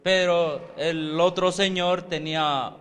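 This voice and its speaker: A man's voice: a little high, fairly even intonation, slow, fairly bright tone, slight background noise. A man interpreting a sermon phrase by phrase, with filler sounds and short asides. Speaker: interpreter and preacher.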